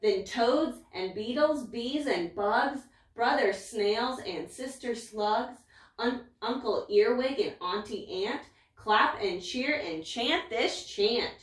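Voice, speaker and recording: Woman, picture-book reader, unhurried, emphatic, dramatic, echoey, slightly distant mic. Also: fairly high pitch. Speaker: story reader. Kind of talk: expressive read-aloud of a rhyming picture book. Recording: echoey room, away from mic.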